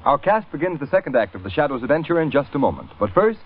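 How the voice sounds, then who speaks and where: A man announces a vintage radio commercial in a polished sales manner. His pitch is a little high and moves freely. The sound is narrow and tinny, like an old broadcast. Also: very animated, quick pace, over music. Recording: thin, band-limited old radio recording.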